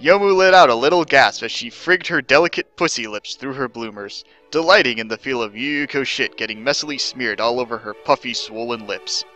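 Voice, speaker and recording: A man's voice: medium pitch, fairly quick, hardly any pauses, bright, forceful tone, highs cut off, soft background music. A man giving a mock-dramatic scripted story reading as narrator, theatrical and emphatic.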